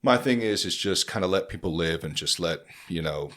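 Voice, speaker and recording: A man's voice: low-pitched, expressive, fairly quick, fairly bright tone. A man hosting a podcast, talking earnestly in a loose, rambling way full of fillers and restarts. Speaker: podcast host. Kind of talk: casual conversational podcast.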